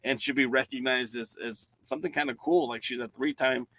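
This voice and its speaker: A man's voice: mid-range voice, fairly even intonation, brisk, pushed and bright. A man hosting a casual podcast, steering the conversation and moving it on to the next topic. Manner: steers the talk, moves topics along. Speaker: podcast host.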